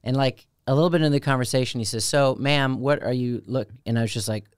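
A man with a tenor voice, telling stories in a casual, animated, self-deprecating way as co-host of a radio show. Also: fast, clean tone, no room sound, studio quality. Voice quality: high tenor voice. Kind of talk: casual chat.

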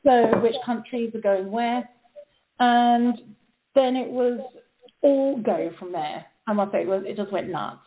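A woman's voice: on the high side, measured pace. Chatty woman on a tinny phone line. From England and Austria. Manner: chatty, laughing, animated storyteller. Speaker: guest opera singer. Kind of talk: casual chat.

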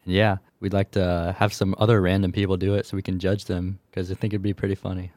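A young man hosting a school podcast, casual and relaxed with a few fillers. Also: low-pitched, brisk, no room sound, soft background music. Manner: relaxed, easygoing, some fillers. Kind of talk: casual student podcast intro. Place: school room.

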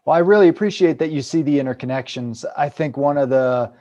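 A man's voice: on the high side, brisk, warm tone, dull audio. A man hosting an interview, speaking in a reflective, encouraging way. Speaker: host. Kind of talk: conversational interview questions.

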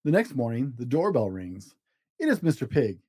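A man reads a children's storybook aloud as the host of a read-along. His voice is relaxed and mellow, with animated rises and falls. The sound is dry, and the delivery is simple and expressive for young listeners. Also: mid-range voice, normal speed, husky, no echo.

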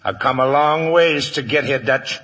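An actor playing a cocky, taunting outlaw gunslinger, breezy and mocking, in a 1950s Western radio drama. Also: male voice, mid-range voice, moderate pace, a bit bright, slightly rough. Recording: vintage 1950s radio broadcast.

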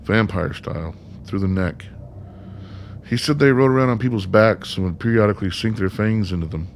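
A man's voice: on the low side, normal speed, a little hiss or hum, close to an everyday voice. A man acting in a radio play as a bitter, sarcastic, foul-mouthed son with a blunt manner. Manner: blunt, sardonic.

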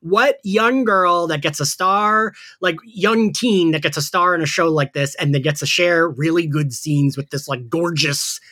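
A man's voice: a little high, unhurried, hardly any pauses, bright, forceful tone. A man hosting a podcast in a casual, gossipy, fannish chat style, often saying "like". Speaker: podcast host. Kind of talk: casual chat recapping an audio drama.

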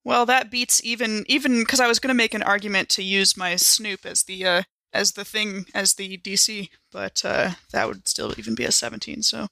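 A woman's voice: mid-range voice, steady tone, moderate pace, gravelly, hardly any pauses, sharp tone, no room sound. A woman playing a tabletop roleplaying game, chatting casually and describing her character's actions.

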